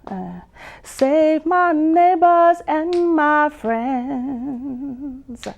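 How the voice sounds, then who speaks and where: A woman poet and vocalist performing spoken-word poetry with drawn-out phrases, pauses and hums, some lines half sung. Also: high voice, vocal fry. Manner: slow, drawn-out delivery with long pauses and hums. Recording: dull lo-fi sound.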